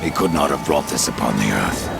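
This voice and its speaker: An extremely deep, gravel-throated ancient rider of doom, speaks in terse, sardonic menace. The pitch swings expressively through dramatic game dialogue, under loud music and storm rumble. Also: male voice, expressive, a little fast, exaggerated voice. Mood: solemn, resolute. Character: grim Pale Rider, ancient male supernatural horseman, sardonic. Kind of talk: dramatic video game acting.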